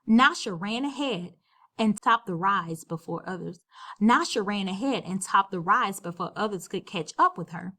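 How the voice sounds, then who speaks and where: Woman reading a storybook aloud, medium pitch. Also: expressive, normal speed, sharp tone, slight background noise. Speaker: storybook reader. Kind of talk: scripted storybook narration.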